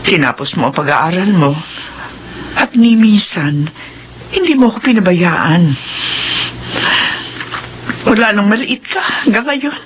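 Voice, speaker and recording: Casting: proud humble mom, middle-aged, emotional delivery with big pitch movement, slight background noise. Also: female voice, expressive, takes it slowly, pauses often, highs cut off. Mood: proud, tender. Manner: emotional, tender. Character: humble, loving, proud mother of grown son. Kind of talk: Filipino radio drama acting.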